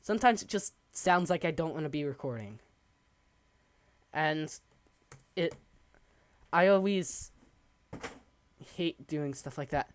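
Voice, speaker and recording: A young man streaming a building game from his desk at home, chatting in a rambling, halting way, his voice raspy and hoarse from coughing. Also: on the high side, expressive. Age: young adult. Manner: rambling, halting, many ums. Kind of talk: casual live-stream chat while building.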